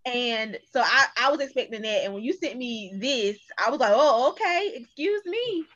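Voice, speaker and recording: A woman's voice: fairly high pitch, lively intonation, normal speed, a bit bright. A woman chatting casually as a cast member in an interview, on dull lo-fi audio. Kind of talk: casual chat.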